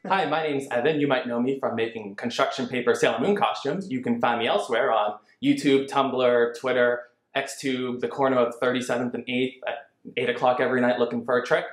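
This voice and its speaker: A man's voice: medium pitch, fairly even intonation, fairly quick. A young adult man, an online video creator, talking casually as a panelist about anime. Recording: echoey room, faint music underneath.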